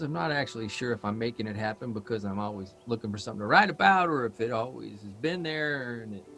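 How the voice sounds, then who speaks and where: An actor playing a restless, self-doubting male writer in a live audio drama, rambling and halting as he questions himself. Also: medium pitch, fairly bright tone. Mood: musing.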